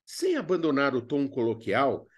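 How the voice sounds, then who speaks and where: A man with a Brazilian accent presenting an instructional lesson in a didactic, expressive way with colloquial asides. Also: medium pitch, normal speed. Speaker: drama teacher presenting a video lesson. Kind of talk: instructional narration with acted examples.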